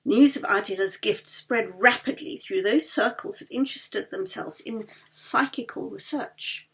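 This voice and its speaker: Female audiobook narrator, author-reader: higher-pitched, husky edge, expressive literary storytelling. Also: unhurried. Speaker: author reading own novel.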